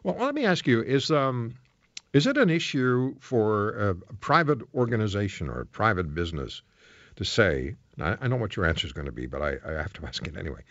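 Canadian guy hosting radio, low voice that moves around a lot, asks probing questions, dry slightly dull sound. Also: sing-song, fairly quick, a bit bright, dry recording. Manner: probing, conversational. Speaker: radio host. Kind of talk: live radio interview. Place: radio studio.

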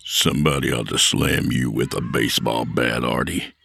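A man voicing a surly, menacing male bully who talks low, slow and threatening. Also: steady tone, creaky, pushed and bright, slightly rough, heavily acted.

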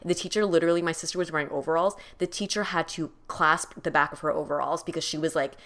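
A woman's voice: deep voice, fairly even intonation, fast talker, a bit bright. A woman co-hosting a podcast, telling a family story in casual chat with quoted asides. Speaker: podcast co-host.

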